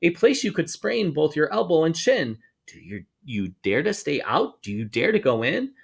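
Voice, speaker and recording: Guy doing a kids book read aloud, voice on the high side and bouncing all over, kinda creaky. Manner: expressive, sing-song, drawn-out emphasis. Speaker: storybook reader for schoolchildren. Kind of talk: animated read-aloud of a rhyming children's book.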